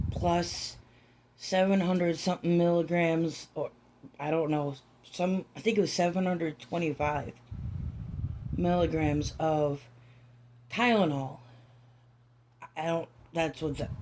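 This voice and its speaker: A woman chatting softly in a home vlog, her voice raspy and hoarse from a raw throat, halting with many ums and restarts. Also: low-pitched, a little hiss or hum. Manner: soft, hushed, near-whispering. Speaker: doll-collecting vlogger. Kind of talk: casual vlog chat.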